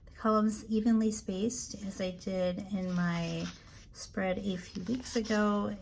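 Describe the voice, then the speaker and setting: A woman with a raspy, allergy-hoarse voice chatting casually as she decorates her planner, relaxed and full of ums. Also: mid-range voice, noisy background. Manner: slow, relaxed, chatty with frequent ums and asides. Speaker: planner vlogger. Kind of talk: casual plan-with-me narration.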